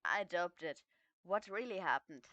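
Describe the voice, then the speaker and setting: A young woman with a German accent voicing a confused, blunt young female dragon, doing slow retakes of each line. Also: fairly low pitch, lively intonation, fairly bright tone, no room sound, highs cut off. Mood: puzzled.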